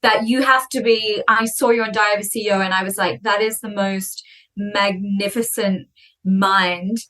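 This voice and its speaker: Chatty woman in her 30s, animated, talks fast and tells stories on a podcast. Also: medium pitch. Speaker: podcast guest, speaker. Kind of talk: casual conversation.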